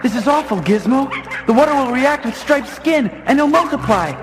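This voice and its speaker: An actor playing an earnest, excitable young man in a storybook record dramatization. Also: extremely high pitch, moderate pace. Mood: alarmed, urgent. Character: excitable earnest young man, brave but alarmed hero. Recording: music behind the voice.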